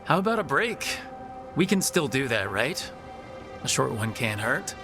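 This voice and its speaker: An actor playing a good-natured, dryly joking hero in a fantasy video game, with natural delivery. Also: male voice, mid-range voice, big pitch swings, measured pace. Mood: light, companionable. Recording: game music behind the voice.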